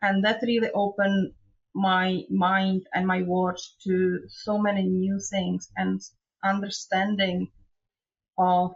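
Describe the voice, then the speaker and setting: A woman from Czechoslovakia, a trauma therapist telling her story in a measured way as an interview guest, speaking over a remote call from her home. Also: medium pitch, creaky, slightly rough, lo-fi sound. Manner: non-native phrasing, measured storytelling. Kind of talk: interview conversation.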